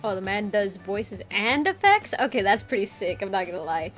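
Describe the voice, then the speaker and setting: A woman's voice: medium pitch, very animated, normal speed, fairly bright tone, faint music behind. A young woman chatting casually to her stream while drawing, rambling with lots of filler words and frequent giggles. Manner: giggly, playful voice play.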